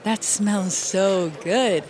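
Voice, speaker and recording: A woman's voice: mid-range voice, very slow, pitched below the performer's own voice. A woman voicing an eager, polite, wide-eyed little boy in a children's storybook. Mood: amazed. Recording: clearly noisy background.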